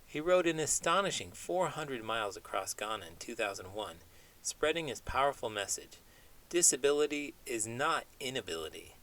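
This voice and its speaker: A man's voice: mid-range voice, lively intonation, normal speed, fairly bright tone, low-quality recording. A man reading aloud to children as a host, with dramatic, emphatic storytelling delivery. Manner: dramatic, emphatic phrasing with big emotions. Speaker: children's book reader and host, elementary school teacher.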